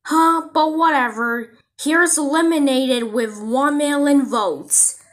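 A woman delivering amateur cartoon dialogue in a simple, drawn-out manner. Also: sits high.

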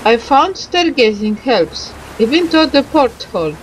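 A woman doing let's play commentary, reading game dialogue aloud haltingly and adding muttered asides. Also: on the high side, sing-song, slight background noise, poor audio.

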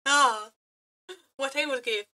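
High, bright-voiced woman, gushing fangirl streamer. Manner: gushing, flirty, giggly fangirl; halting, scattered, repeating words. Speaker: aspiring VTuber and streamer. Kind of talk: casual reaction chat to viewers.

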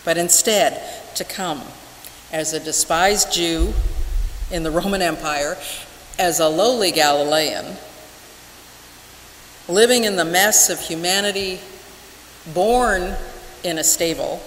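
Casting: older female minister, very deliberate slow sermon delivery, reverberant sanctuary. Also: lowish voice, a bit bright. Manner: measured, deliberate, with emphatic pauses. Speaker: minister preaching. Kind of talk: church sermon. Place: large wooden church sanctuary, at the pulpit. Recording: echoey church hall with hiss.

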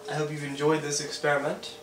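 A man's voice: on the high side, completely flat delivery, fast talker. A young man hosting a home science demonstration, earnest and explaining things step by step, often restarting his sentences. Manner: earnest, with frequent restarts and throat clearing. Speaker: video host. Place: small room at home. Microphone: camera mic from a few feet away. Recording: roomy echo from a far camera mic, hiss.

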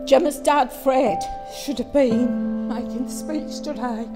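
A woman's voice: high-pitched, takes it slowly. A middle-aged English woman, mother of the bride, reading a wedding speech from notes into a handheld mic in a careful, heartfelt way. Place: glass-walled wedding reception room. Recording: hall reverb with music under it.

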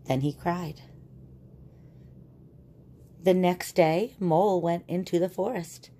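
A woman reading a children's picture book aloud and explaining it in a deliberate, teacherly way. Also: on the low side, mellow.

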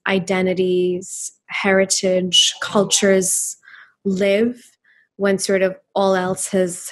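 Earnest woman founder from Pakistan. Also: fairly low pitch. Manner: earnest, thoughtful, with frequent ums. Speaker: company founder, guest. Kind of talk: interview conversation.

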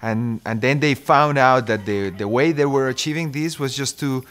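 A man's voice: mid-range voice, moderate pace, fairly bright tone. A man in his thirties with a Colombian accent, a systems engineer explaining his project earnestly in an interview. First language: Spanish. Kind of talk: documentary interview. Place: indoor maker workshop. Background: background music.

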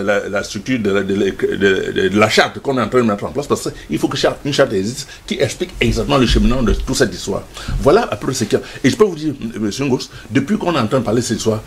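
A man's voice: mid-range voice, a little hiss or hum. Emphatic older man in a TV studio. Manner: emphatic, gestures constantly, stammers repeated words. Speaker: studio guest. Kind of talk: passionate studio debate.

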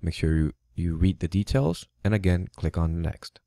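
A man hosting a tech tutorial, giving plain step-by-step instructions with a few halting fillers. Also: low voice, animated, gravelly, dark tone, no echo, clean studio sound. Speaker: tutorial host. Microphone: USB studio microphone.

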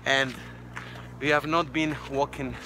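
A man's voice: mid-range voice, flat delivery, measured pace. A man in his early thirties with a Mexican accent, a native Spanish speaker, chatting casually and jokingly to viewers on a handheld camera. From Mexico City, Mexico. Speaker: vlogger. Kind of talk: casual vlog chat to camera. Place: outdoors, walking on a mountain forest road. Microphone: phone at arm's length. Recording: noisy outdoor handheld recording.

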